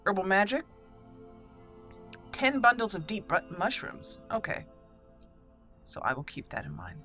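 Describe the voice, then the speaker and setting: A woman's voice: mid-range voice, huge pitch swings, unhurried, pushed and bright, faint music behind. A woman hosting a video game roleplay let's play, talking in a conversational, explanatory way. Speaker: gaming channel host. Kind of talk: roleplay let's play commentary, reading character dialogue in between.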